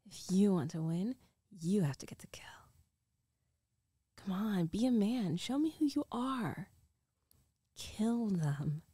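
Slow, mellow, teasing dominant girlfriend played by a woman. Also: mid-range voice, animated, no echo, studio quality. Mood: commanding. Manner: slow, coaxing, breathy teasing, often dropping to a whisper. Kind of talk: intimate ASMR roleplay.

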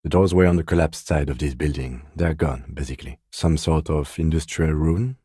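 A man acting a reserved, dutiful police detective in a video game, speaking in a measured, formal, deadpan way with dry courtesy. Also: extremely low pitch, slightly rough, dry recording. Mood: dry, composed. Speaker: voice actor.

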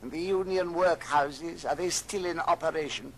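An actor playing a scornful, cold-hearted old miser, curt and grumbling, in old radio drama style. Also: male voice, on the high side, moderate pace, fairly bright tone. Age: older. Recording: noisy old record transfer.

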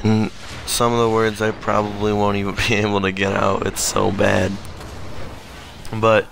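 Male gaming host, hoarse and straining, voice cracking, low flat delivery, slow, noisy recording. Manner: clears throat often, voice cracks. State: losing his voice, hoarse and strained. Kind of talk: casual voiceover over gameplay.

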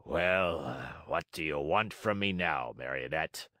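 A man voicing a huge, gruff, bearded puppet master with a hoarse voice, blustering but soft-hearted, in a storybook reading. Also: deep voice, sing-song, slow pace, dry recording, studio quality, heavily acted. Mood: stern, commanding.

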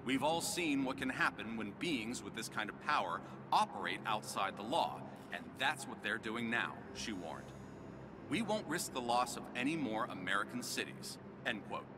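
A man playing a grave TV news anchor, reading a report in a formal, measured delivery. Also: medium pitch, expressive, background noise, lightly acted. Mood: serious.

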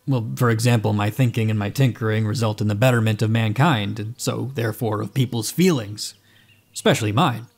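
A man's voice: lowish voice, a little fast, natural voice. An actor playing an eccentric, boastful inventor in his thirties, glib and theatrical, in an audio drama. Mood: wry, needling. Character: eccentric thirty-five-year-old male inventor, mad scientist, boastful.

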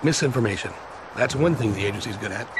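An actor playing a terse, authoritative special agent in clipped video game cutscene dialogue. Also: male voice, on the low side, animated, quick pace, noisy background, natural voice. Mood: terse, controlled. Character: terse, commanding male special agent leading stranded soldiers.

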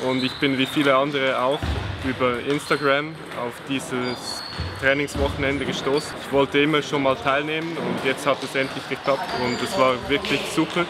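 Calm Swiss teen over loud music. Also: male voice, medium pitch. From Switzerland. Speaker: camp participant. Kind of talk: interview testimonial. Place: indoor sports hall.

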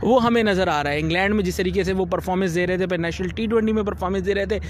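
Young man, sports analyst, high voice, rapid fluent delivery, handheld mic, outdoors. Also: slight background noise. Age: young adult. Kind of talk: cricket analysis interview. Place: outdoors by a stadium. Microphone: handheld reporter mic.

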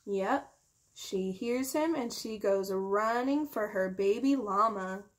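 A young woman reading a picture book aloud to children expressively, drawing out key words and explaining it in simple terms. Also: mid-range voice. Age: young adult. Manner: slow, deliberate, drawn-out key words. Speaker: teacher reading a children's storytime.

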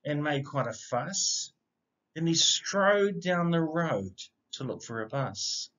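Unhurried man reading with lively pitch. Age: middle-aged. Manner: expressive, measured storytelling. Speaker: storybook reader, educator. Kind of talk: children's read-aloud of rhyming picture book. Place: indoor room. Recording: slightly dull, lo-fi webcam sound.

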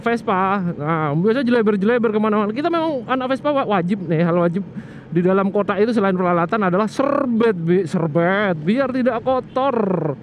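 A man's voice: sits high, expressive, fairly quick. A man casually explaining a scooter maintenance trick outdoors, rambling and often restarting or correcting himself. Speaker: motovlogger. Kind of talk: casual how-to vlog chat. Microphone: action camera. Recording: muffled action-cam audio with street noise.

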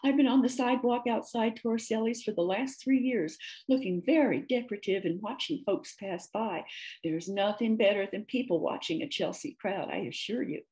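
A woman's voice: medium pitch, normal speed, a little mellow, voice pushed up, close to an everyday voice. A woman voicing a dainty, weepy female cow in a storybook, effusive and polite. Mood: proud, chatty.

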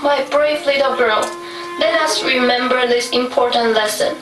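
A woman's voice: high-pitched, normal speed, lightly acted. A woman playing a loving mother of young daughters in a puppet show. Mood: tender, solemn. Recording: echoey, distant stage recording with music.

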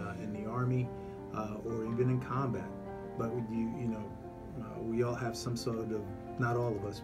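A man giving a personal account as a radio interview guest, halting and reflective. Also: on the low side. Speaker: guest, retired army veteran. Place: small radio studio.

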